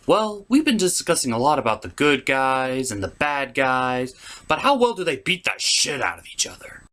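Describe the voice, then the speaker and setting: A man's voice: medium pitch, very animated, moderate pace, fairly bright tone. A man hosting a scripted comedic cartoon review, theatrical and opinionated with crude turns of phrase. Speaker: cartoon reviewer, show host. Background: music bed.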